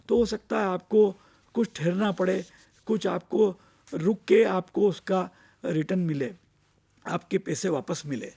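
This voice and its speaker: High-pitched Indian man explaining, slightly creaky. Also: mellow. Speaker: finance expert giving investor education. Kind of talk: explanatory lecture mixing Hindi and English.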